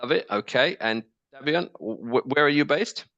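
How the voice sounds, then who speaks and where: A man's voice: mid-range voice, lively intonation, normal speed, fairly bright tone, dry recording, dull audio. A man moderating an online panel discussion, upbeat and chatty, with plenty of ums and restarts. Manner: upbeat, filler-heavy, restarts names.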